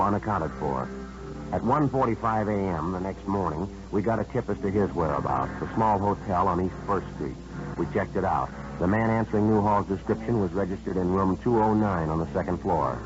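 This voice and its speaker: An actor playing a terse, unflappable American detective sergeant in a clipped, deadpan radio drama style. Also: male voice, low voice, brisk, music in the background, close to an everyday voice. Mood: matter-of-fact. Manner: clipped, deadpan, matter-of-fact. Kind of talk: 1950s radio drama narration and dialogue. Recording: dull vintage radio recording.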